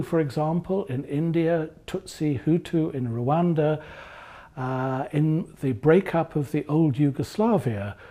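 Older male academic, husky and mellow, measured explainer with a lively pitch. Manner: measured, explanatory, with frequent "uh" pauses. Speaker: philosophy researcher. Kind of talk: explaining his research to camera.

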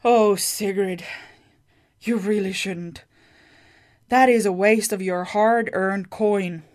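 Woman doing a sly sorceress, teasing and scheming, unhurried, storybook reading. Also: medium pitch, fairly even intonation, a little mellow, natural voice. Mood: breezy.

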